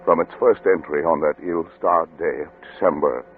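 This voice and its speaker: An actor playing a grave, doomed scientist, narrating solemnly and in measured literary phrases for an old-time radio drama. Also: male voice, a bass voice, fairly even intonation. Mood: grave, resigned. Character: doomed, grave male scientist narrating his final casebook. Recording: narrow vintage radio recording with music.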